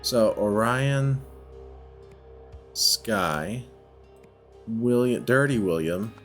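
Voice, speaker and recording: A man's voice: on the low side, animated, extremely slow, a bit bright. A man giving casual let's play commentary, thinking aloud and often questioning himself as he goes. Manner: musing, self-questioning. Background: background music.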